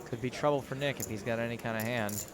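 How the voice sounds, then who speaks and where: A man's voice: medium pitch, fast, a crowd in the background. A man commentating on poker hands on a live webcast, a playful co-host who cracks jokes.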